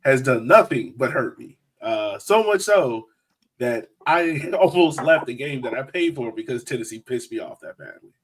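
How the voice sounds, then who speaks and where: Male podcast host, mid-range voice, highly animated, jokey and exclamatory, loose conversational banter. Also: moderate pace. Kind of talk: casual group chat.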